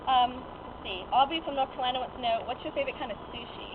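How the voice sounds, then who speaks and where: A young woman conducts an informal interview, a little hesitant as she feels her way into each question. Her voice comes through a thin, tinny phone line with clear noise. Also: high-pitched, fast. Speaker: fan-site interviewer.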